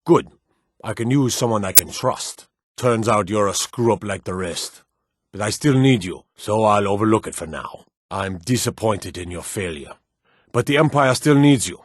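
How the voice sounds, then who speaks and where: A man playing a stern, battle-hardened military officer in a fantasy video game, brisk, commanding and gruffly matter-of-fact as he gives orders. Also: on the low side, expressive, no echo. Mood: curt, disappointed.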